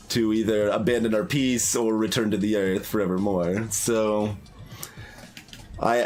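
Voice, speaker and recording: A man doing casual game commentary as a streamer, thinking aloud in a hesitant, analytical way. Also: medium pitch. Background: game music.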